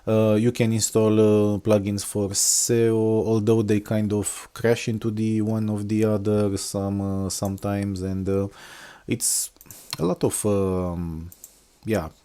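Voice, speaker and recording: A middle-aged software engineer from Romania talking unscripted through a screen walkthrough, with many hesitations and fillers. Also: male voice, lowish voice, level tone, takes it slowly, clean tone. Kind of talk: casual screen-recorded walkthrough.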